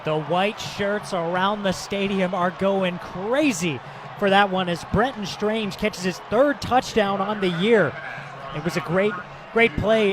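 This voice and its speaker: A man's voice: sits high, background noise. An American man working as a color commentator, giving conversational, opinionated football analysis between plays.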